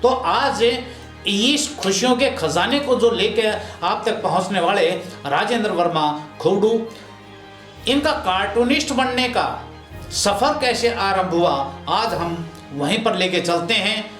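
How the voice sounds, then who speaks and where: High-voiced, effusive male host. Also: lively intonation, slow, fairly bright tone. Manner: effusive, courteous, flowery praise. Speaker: interviewer and host. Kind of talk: warm formal introduction and interviewing. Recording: roomy echo, music underneath.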